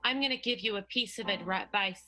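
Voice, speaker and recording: A woman playing a haughty, menacing opera diva in an improvised melodramatic radio play, speaking grandly and deliberately. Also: mid-range voice, music in the background, close to an everyday voice. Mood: cold, threatening.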